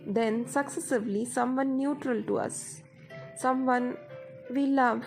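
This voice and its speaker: A woman guides a meditation in a fairly high voice. She speaks very slowly and in a measured, instructive way. Music plays softly behind her words. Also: fairly even intonation, gravelly, low-quality recording.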